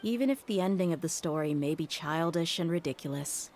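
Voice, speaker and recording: Low-voiced, candid young female mercenary. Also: animated, a little fast, darkish tone, a little hiss or hum. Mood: resolute. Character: tough, candid young female mercenary, wistful but resolute. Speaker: voice actor in a video game. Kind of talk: scripted game dialogue. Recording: prominent music under the voice.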